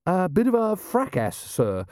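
A man's voice: sits high, huge pitch swings, unhurried, no echo, studio quality, pitched above the performer's own voice, full character voice. A man voicing an earnest, polite, eager young male guard captain in an audiobook. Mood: anxious, respectful. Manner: respectful, bright and earnest.

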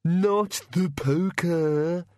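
A man's voice: high-pitched, extremely slow, a little mellow, no echo, studio quality, voice pushed up. A man voicing a cowardly, whining bogeyman who pleads, moans and mumbles in an audiobook. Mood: pleading. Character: whining, cowardly bogeyman monster, easily puzzled. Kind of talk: audiobook character voice.

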